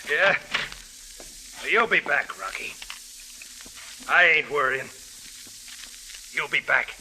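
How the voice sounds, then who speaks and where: An actor in an old radio drama playing a wounded, stubborn, gold-obsessed prospector with rough, slangy, insistent talk. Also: male voice, on the high side, animated, slow pace. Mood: feverish, insistent. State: weak from wounds. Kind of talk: 1940s-50s radio drama acting. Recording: hissy old radio recording.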